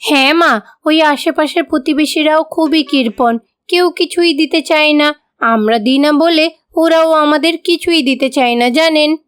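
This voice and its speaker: Woman as a high-voiced, meek Bengali daughter-in-law. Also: unhurried. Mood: polite, apologetic. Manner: polite, pleading. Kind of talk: moral-story cartoon voice acting.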